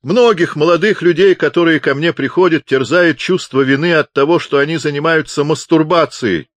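A man's voice: medium pitch, sing-song, measured pace, dry recording, voice pushed up. A man voicing a kindly, oblivious old chaplain who is hard of hearing and bellows cheerfully in an audiobook. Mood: loud, matter-of-fact. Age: older. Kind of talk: audiobook character voice.

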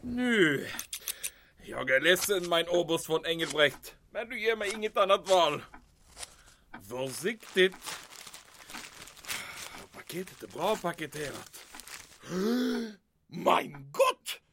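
A shrill, panicky major. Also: male voice, big pitch swings, pauses often, noisy background. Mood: secretive, tense. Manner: melodramatic, sprinkles in German exclamations. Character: panicky, theatrical middle-aged German army major. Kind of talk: audio drama monologue acting.

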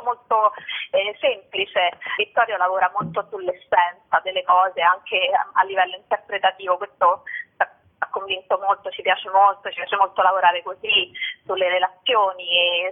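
A woman actress answering interview questions about her stage work, thoughtful and hesitant, with many fillers and restarts. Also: mid-range voice. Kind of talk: casual spoken interview. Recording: phone-like narrow sound.

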